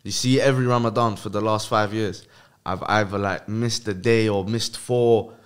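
A man's voice: lowish voice, steady tone, moderate pace, clear, resonant tone. A man with a London accent chatting casually as a podcast guest, very informal, with slang and frequent tag questions. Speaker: podcast guest, music artist. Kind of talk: casual chat.

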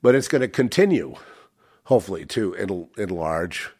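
A man's voice: sits low, expressive, moderate pace, a little mellow, slightly rough. An older man with a head cold talks in a rambling, reflective way and often stops to correct himself. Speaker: channel host. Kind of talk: casual spiritual monologue.

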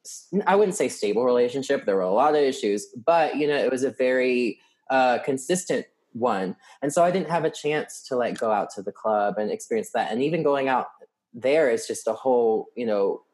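Young guy in a casual chat, open and candid, laughs a lot, mid-pitched. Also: lively intonation, normal speed, a little mellow.

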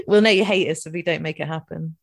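A woman's voice: medium pitch, vocal fry, fairly bright tone. A Scottish woman co-hosting a casual podcast, teasing and laughing often. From Scotland. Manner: giggly, teasing, sweary. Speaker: podcast co-host. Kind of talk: casual chat.

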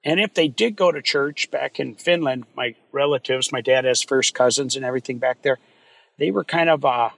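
A man from Minnesota, a guest in a podcast interview, talking in a relaxed, rambling way with many fillers. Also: medium pitch, normal speed, creaky. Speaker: guest, wildfire fighter. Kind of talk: casual interview chat.

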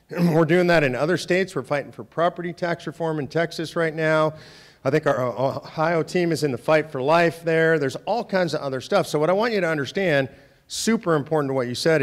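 A man with a loud voice, president of an organization, speaking to volunteers and lawmakers in a meeting room. He talks conversationally and emphatically, often tagging points with "right?". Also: on the high side, a little fast. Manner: conversational, emphatic, rhetorical "right?" tag questions. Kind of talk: speech with audience questions. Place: meeting room with a live audience.

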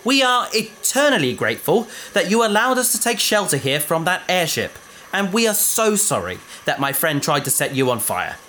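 Fussy formal researcher, kinda high voice, bouncy. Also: male voice, very animated, normal speed, pushed and bright, a little hiss or hum, full character voice. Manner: formal, long-suffering. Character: prim, fussy male researcher. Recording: studio drama with faint music.

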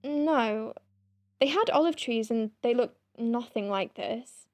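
A proud senior doctor at a space clinic , played by a woman, speaks in a slightly high voice. She is formal and literal, clipped in her phrasing, with kindness under the stiffness. It is audio drama, recorded close and dry. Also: slow, a little mellow, dry recording. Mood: awkwardly kind.

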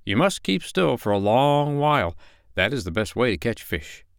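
A man's voice: medium pitch, big pitch swings, normal speed, gravelly, smooth, no echo, studio quality, voice pushed up. A man voicing a sly, tricky male fox in a bedtime story, speaking in a coaxing way.

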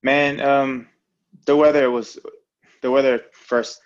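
A man's voice: mid-range voice, little pitch movement, slow, muffled recording. A young man from American Samoa, a pro fighter, talking in a laid-back, hesitant way on a remote call. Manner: laid-back, hesitant, many fillers and restarts. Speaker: professional fighter, guest. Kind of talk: casual interview.

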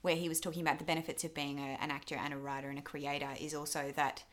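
A woman's voice: low voice, flat delivery, racing, a bit bright. A young woman with an Australian accent giving casual interview answers, candid and self-deprecating as she rambles through her thoughts. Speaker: interview guest, actor and filmmaker.

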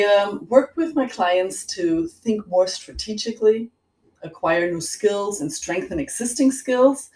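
A woman's voice: on the low side, darkish tone. Echoey far-off mic, middle-aged german woman being interviewed on a call, careful precise wording. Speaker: interview guest, executive coach. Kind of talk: explanatory interview talk. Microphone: online call. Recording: roomy echo, distant microphone.

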